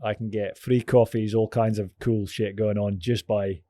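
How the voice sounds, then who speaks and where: A man's voice: lowish voice, level tone, normal speed, warm tone. A man with a mild, polite Scottish accent, chatting casually as a podcast guest, laughing easily and swearing now and then. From Scotland.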